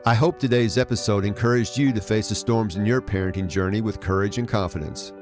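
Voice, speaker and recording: A middle-aged man narrating a scripted podcast as host, in a measured, encouraging manner. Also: fairly low pitch, over music. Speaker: podcast host, adoption life coach.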